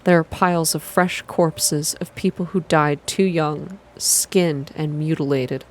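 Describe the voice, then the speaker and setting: A woman reading a story aloud in a calm, slow, hushed ASMR style. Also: low-pitched. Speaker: reader of a visual novel for an online channel.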